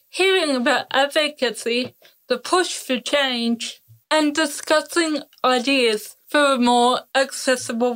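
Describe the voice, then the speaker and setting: A woman's voice: high-pitched, fairly bright tone, rough recording. A woman hosting a podcast, reading a scripted introduction and asking interview questions. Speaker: podcast host.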